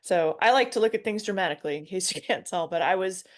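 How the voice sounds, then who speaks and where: A middle-aged American woman, a guest on a video call from a spare room, telling her story in casual conversation, chatty and quick to laugh at herself. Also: lowish voice, lively intonation. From United States. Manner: chatty, self-deprecating, laughs easily.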